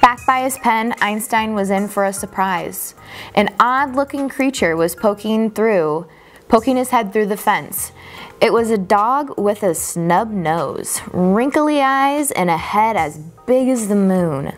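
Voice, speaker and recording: Woman reading a children's storybook, music behind. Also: medium pitch. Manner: expressive, deliberate, drawn-out emphasis on key words. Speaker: storyteller reading a children's picture book aloud. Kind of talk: children's storybook read-aloud.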